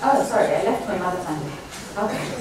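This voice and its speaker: A woman's voice: fairly low pitch, lively intonation, fast. A woman chairing a session announces the next speaker to the audience and apologizes for a slip. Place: large echoey conference hall. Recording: echoey hall from far off, with crowd.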